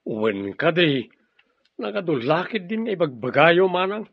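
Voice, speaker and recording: A man's voice: fairly high pitch, big pitch swings, unhurried, gravelly, husky, no room sound, heavily acted. A man with a Filipino accent acting an irritable, resentful younger brother in an Ilocano radio drama. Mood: annoyed, resentful. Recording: dry, dull lo-fi radio drama audio.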